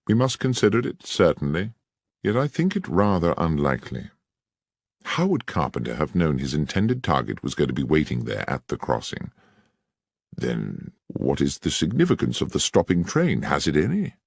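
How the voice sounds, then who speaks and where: A man reading aloud, voicing a courteous, probing gentleman detective. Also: extremely low pitch, wildly swooping pitch, moderate pace, gravelly, breathy edge, no echo, studio quality, heavily acted. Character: keen, incisive gentleman detective.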